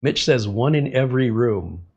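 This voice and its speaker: A man's voice: medium pitch, lively intonation, moderate pace, a little mellow, slightly rough, dull audio. A middle-aged man hosting a casual livestream, a little congested, chatting in a rambling way and reading viewer comments aloud. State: slightly congested. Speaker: livestream host.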